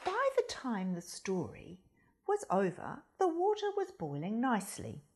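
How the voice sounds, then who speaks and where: A woman reading a children's story aloud in a dramatic, deliberate way, with hushed emphasis. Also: mid-range voice, huge pitch swings.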